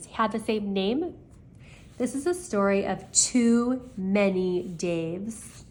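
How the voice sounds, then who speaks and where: An older woman, a grandmother reading children's stories aloud expressively, with chatty asides to her young listeners. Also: mid-range voice.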